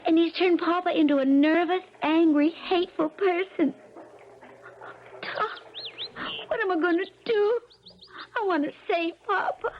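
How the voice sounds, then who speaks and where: An earnest young preacher's daughter with a very high voice. She speaks in a folksy, pleading country way, in an old radio drama with a dull, slightly noisy sound. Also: measured pace, long pauses. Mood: worried, sorrowful. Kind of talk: 1970s radio drama acting.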